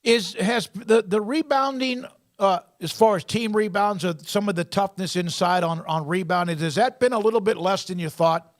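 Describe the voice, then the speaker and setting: Higher-voiced sports radio host. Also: male voice, moderate pace. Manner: friendly, rambling questions with restarts.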